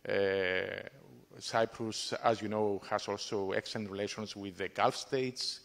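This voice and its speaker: Man, diplomat, low-ish voice, hesitant, long hall reverb. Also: fairly even intonation, unhurried, gravelly, fairly bright tone. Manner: conversational, with frequent hesitations. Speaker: diplomat on a panel. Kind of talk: formal panel discussion.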